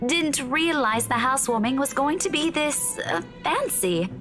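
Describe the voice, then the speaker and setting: High, lively, flustered young woman. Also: normal speed. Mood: awkward. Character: flustered, casually dressed young woman at a party. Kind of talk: visual novel voice acting. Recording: music behind the voice.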